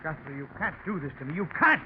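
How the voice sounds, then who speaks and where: High-voiced, bitter aging radio businessman. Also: big pitch swings, fast. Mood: wounded, pleading. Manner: wounded, pleading turning to menacing. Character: aging, portly, cheated male company president, bitter. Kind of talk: 1940s radio drama acting. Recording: dull, noisy 1940s radio recording.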